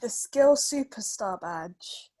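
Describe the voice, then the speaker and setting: High-voiced woman, unhurried with a child. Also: lively intonation, dark tone. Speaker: adult reading tutor helping a child. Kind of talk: casual chat and children's storybook read-aloud.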